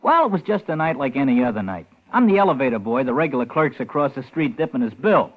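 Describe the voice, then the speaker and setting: A man's voice: medium pitch, big pitch swings, fast talker. An actor playing a chatty, cocky, star-struck young hotel elevator boy in a 1950s radio drama, slangy and rambling. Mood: chatty, boastful. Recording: noisy tinny 1950s radio recording.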